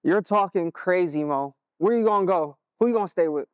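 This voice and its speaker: Chill worried american big brother guy acting, casual talk, slightly high voice, dry sound. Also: fairly quick, clean tone, dry recording, dull audio, natural voice. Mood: concerned. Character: concerned, easygoing older brother, young adult. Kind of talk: stage play acting.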